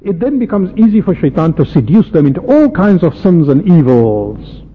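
Preacher in a reverberant hall. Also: male voice, on the high side, very animated, normal speed. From South Africa. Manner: emphatic, rhetorical questioning and repetition. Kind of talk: impassioned sermon. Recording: muffled, reverberant hall with background noise.

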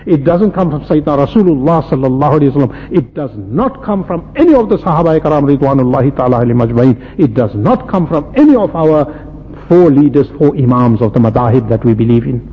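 A man's voice: mid-range voice, lively intonation, brisk. A man preaching an impassioned Islamic sermon, emphatic, with repetition and rhetorical questions. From South Africa. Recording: muffled, reverberant hall with background noise.

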